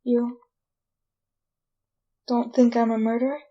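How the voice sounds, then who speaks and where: A woman acting a frightened condemned prisoner in a retro radio drama, pleading innocence in a halting, folksy way. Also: fairly high pitch, little pitch movement, mellow, dull audio. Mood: anxious pleading.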